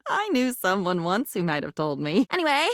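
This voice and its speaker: A woman's voice: medium pitch, sing-song, moderate pace, no room sound, studio quality. A woman voicing a young, earnest, dreamy living object in a video game, whimsical and theatrical, mixing flowery formal words with chatty asides. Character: female living diary, young, earnest, dreamy, newly self-aware. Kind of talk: video game character voice acting.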